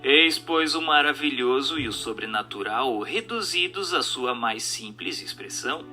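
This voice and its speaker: A man's voice: mid-range voice, animated, unhurried, pushed and bright. A man with a Brazilian accent reads a scripted doctrinal text as a narrator, in a formal, declamatory and rhetorical manner. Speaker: narrator of a spiritist book reading. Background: music.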